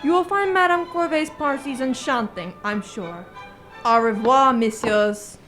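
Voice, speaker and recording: A woman playing a suave, watchful male French police inspector in a radio drama, smooth and ceremonious. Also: high voice, a little slow, over music.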